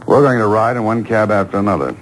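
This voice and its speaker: A man's voice: lowish voice, animated, quick pace, natural voice. An American actor playing a coolly menacing, wry and taunting man on the run in an old-time radio drama. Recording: noisy old radio show taped off air.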